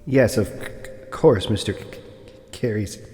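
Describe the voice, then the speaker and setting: An actor playing a formal, deferential property broker who stutters, in an audio drama. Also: male voice, on the low side, slow, a little mellow, breathy edge, faint music behind, exaggerated voice. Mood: polite, halting. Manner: formal, precise, apologetic.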